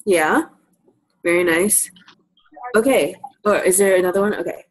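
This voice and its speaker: A woman with a slightly low-pitched voice presents a lesson in an informal way. She invites participation and encourages those she teaches. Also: normal speed. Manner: encouraging, affirms answers. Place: live virtual presentation.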